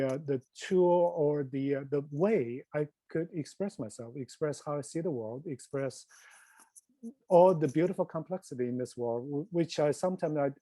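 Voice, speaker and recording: A man from China with a Chinese accent, a filmmaker reflecting casually and earnestly on a panel, in a halting way. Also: fairly high pitch, mellow, no echo, rough recording.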